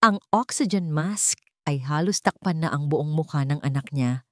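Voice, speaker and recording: A woman's voice: sits low, moderate pace, a little mellow, no room sound, clean studio sound. A woman storyteller reading scripted drama narration in a solemn, dramatic manner.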